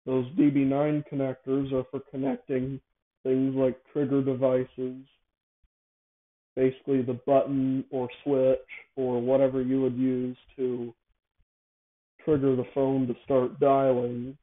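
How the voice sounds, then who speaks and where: A man speaks over narrow, phone-like audio in a casual, unscripted monologue. He hosts in a halting way, often doubling back to correct or repeat his phrases. His pitch sits in an ordinary middle range. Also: monotone. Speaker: show host. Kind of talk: casual improvised monologue, explaining a device idea.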